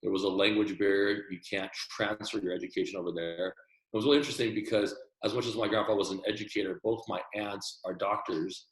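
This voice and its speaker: Low-voiced man telling his life story. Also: steady tone, brisk, roomy sound, low-quality recording. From Taiwan. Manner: earnest, repeats words when starting sentences. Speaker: podcast guest. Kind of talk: casual interview storytelling.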